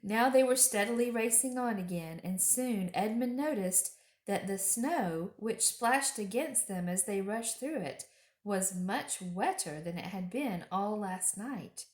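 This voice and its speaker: A woman reading a children's story aloud expressively, with deliberate phrasing and dramatic emphasis. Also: mid-range voice, animated, reverberant. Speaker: storytime reader.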